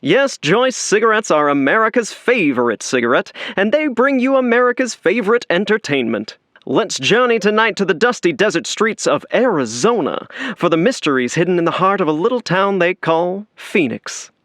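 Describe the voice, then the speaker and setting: A man's voice: high voice, sing-song, moderate pace, a bit bright, no room sound, clean studio sound. A man playing a suave, grandiose announcer of an old-time radio drama, dramatic in delivery. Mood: upbeat selling. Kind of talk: 1940s radio announcer acting.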